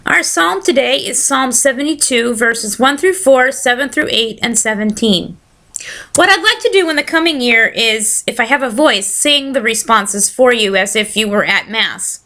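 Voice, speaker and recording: A woman hosts a casual solo podcast, talking easily and shifting into a steady reading voice. Her voice sits a little high with a bright, forward edge, and it is slightly scratchy. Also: moderate pace. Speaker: podcast host.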